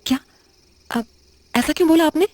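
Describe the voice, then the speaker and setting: A woman playing a confused, uneasy young widow who talks haltingly in an audio horror drama. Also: high-pitched, darkish tone, no room sound, natural voice. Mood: flustered. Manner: hesitant, halting, muttering questions to herself.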